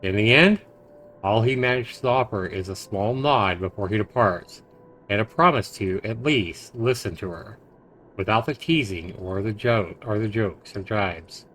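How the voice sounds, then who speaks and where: A man streaming a visual novel, reading it aloud haltingly with stumbles, self-corrections and casual asides. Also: fairly low pitch, fairly bright tone, slight background noise.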